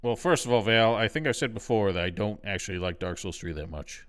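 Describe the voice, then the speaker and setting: Low-voiced man, wry game streamer. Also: a little fast, a bit bright, clean tone. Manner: wry, sceptical, musing. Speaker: video game livestreamer. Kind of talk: casual running game commentary.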